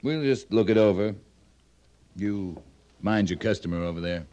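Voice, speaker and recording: An actor playing a calm, wry young cavalry captain, courteous and laconic, in an old-time radio western. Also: male voice, fairly low pitch, normal speed, highs cut off, lightly acted. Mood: assured.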